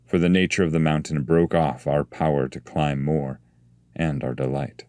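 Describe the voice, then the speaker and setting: A man reading epic poetry as a scripted narration, in a measured, solemn way. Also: very deep voice, fairly even intonation, warm tone, clean tone, no echo.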